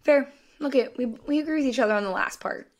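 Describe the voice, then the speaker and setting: A woman with a raspy voice co-hosting a podcast, chatting casually and tossing in quick asides. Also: high voice, a little mellow. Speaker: podcast co-host. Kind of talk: casual sports chat.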